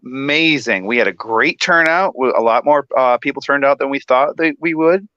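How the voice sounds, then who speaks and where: A man's voice: medium pitch, moderate pace, pushed and bright, clean tone, dry recording, dull audio. Chatty man in his thirties from Michigan. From Michigan, USA. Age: 30s. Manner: chatty, laughs often, many restarts. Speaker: guest. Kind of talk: casual chat.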